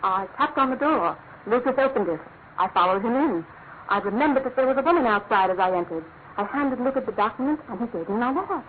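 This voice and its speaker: Radio drama: woman as upper-class English wife, highish voice, guilt-ridden and formal, narrow noisy sound. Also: animated, lightly acted. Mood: fearful confession. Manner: formal, pleading, emotionally halting. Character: anguished aristocratic English wife confessing a guilty secret. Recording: thin phone-like radio sound, clear noise.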